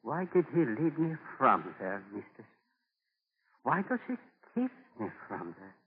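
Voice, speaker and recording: A man's voice: mid-range voice, sing-song, a little slow, slightly rough, heavily acted. Solemn, homesick Native man, old radio. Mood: wistful. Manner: solemn, simple formal phrasing. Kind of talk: 1940s radio drama acting. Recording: thin, narrow-band 1940s radio broadcast.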